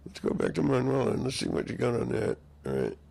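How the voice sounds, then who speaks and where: A hoarse man in a rambling monologue, drifting between half-finished sentences and mock-courtroom phrasing. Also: mid-range voice, wildly swooping pitch, a little fast, mellow.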